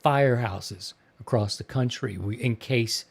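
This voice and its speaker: A man's voice: medium pitch, unhurried, vocal fry. A man hosting a podcast in casual chat, talking in an enthusiastic, rambling way. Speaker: podcast host.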